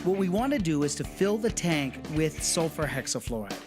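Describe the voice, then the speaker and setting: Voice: mid-range voice, very animated, normal speed. A science show host giving a live demonstration, coaxing a helper along with enthusiasm and frequent questions. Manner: enthusiastic, coaxing, asks many rhetorical questions. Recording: music behind the voice.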